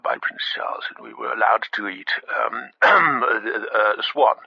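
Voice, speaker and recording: An actor playing a posh, doddery, maudlin older man calling a spoof radio phone-in, halting and rambling as he goes. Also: lowish voice, no echo, heavily acted. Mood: hesitant, earnest. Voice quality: husky, creaky, throat-clearing. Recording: dull band-limited phone-in line.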